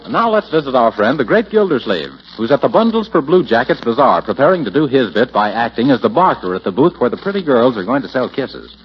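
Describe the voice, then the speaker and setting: A man's voice: mid-range voice, sing-song, quick pace, hardly any pauses, background noise. A man announcing and narrating from a script in 1940s radio style. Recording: muffled 1940s radio recording.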